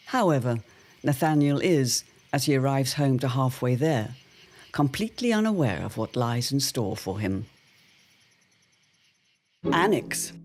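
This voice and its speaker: A man narrating a dramatic serial in a measured, theatrical, old-time announcer manner. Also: fairly high pitch, a little mellow.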